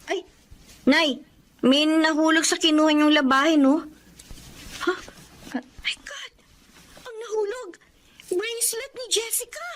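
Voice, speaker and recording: A woman's voice: very high voice, slow pace, long pauses, slight background noise. A woman playing a polite, anxious young daughter. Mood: alarmed. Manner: polite, uses respectful po. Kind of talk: Filipino radio-style drama acting.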